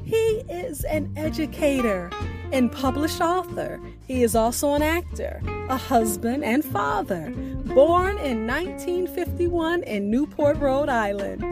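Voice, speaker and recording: High-voiced, slow woman hosting over guitar. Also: very animated. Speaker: radio storytelling show host. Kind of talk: scripted show announcing. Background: plucked guitar music.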